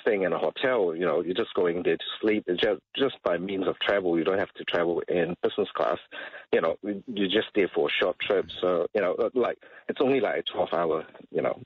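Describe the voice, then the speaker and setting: A middle-aged man with a husky voice, talking casually and hesitantly as a guest on talk radio. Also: deep voice, brisk, no room sound. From Malaysia. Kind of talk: casual chat. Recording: dull, slightly muffled radio audio.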